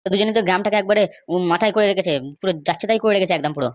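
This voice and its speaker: A woman's voice: medium pitch, racing, no echo, close to an everyday voice. A woman playing an aggrieved, scheming male villager in a comedy cartoon, complaining in an emphatic, gossipy way. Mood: indignant. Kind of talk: amateur comedy cartoon dialogue.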